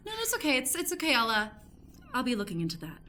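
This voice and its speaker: Woman playing an eager, high-voiced awkward reporter. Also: wildly swooping pitch, fast, pushed and bright, exaggerated voice. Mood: nosy, flustered. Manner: hesitant, self-conscious, polite and prying. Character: eager, awkward woman amateur journalist trying too hard. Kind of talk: improvised comedic radio play acting.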